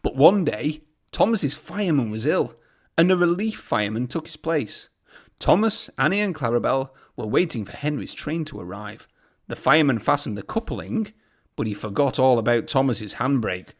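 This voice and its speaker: A man's voice: mid-range voice, animated, normal speed. A man narrating a children's storybook aloud as a storyteller.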